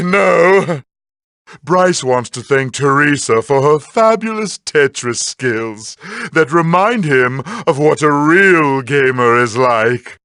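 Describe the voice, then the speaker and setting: An actor playing a sardonic, unhinged villain in a cartoon video game, with a theatrical, sarcastic delivery. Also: male voice, a little high, fairly bright tone, no echo, studio quality, heavily acted. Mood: exasperated, ranting. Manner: theatrical, sarcastic, swinging to outbursts.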